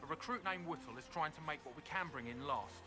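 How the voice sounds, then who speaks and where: An actor playing a weary, dutiful corporal in a fantasy game, plain-spoken and a little wry. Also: male voice, a little high, fast talker, music in the background, close to an everyday voice. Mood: weary, concerned. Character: weary, dutiful male army corporal managing refugee aid. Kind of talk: scripted fantasy game dialogue.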